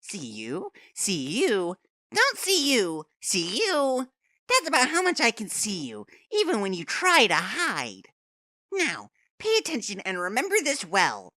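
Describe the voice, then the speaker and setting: A woman's voice: medium pitch, wildly swooping pitch, measured pace, sharp tone, no echo, clean studio sound, heavily acted. A woman voicing a gleefully menacing robot bear headmaster in a fandub, theatrical, mock-sweet and taunting. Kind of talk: anime game fandub acting.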